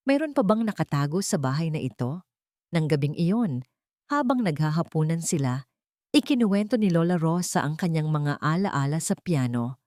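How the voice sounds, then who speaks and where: A woman reads a dramatic, sentimental story as its narrator. Her voice sits a little low, and she tells it in a measured, solemn way, with a close, dry sound. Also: darkish tone, no room sound.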